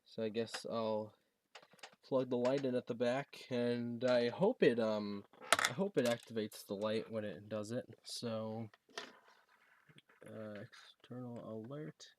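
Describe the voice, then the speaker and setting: A man talking casually and haltingly, thinking aloud as he demonstrates a gadget he is setting up. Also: medium pitch, background noise. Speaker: product reviewer demonstrating a gadget. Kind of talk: casual unscripted unboxing and setup demo.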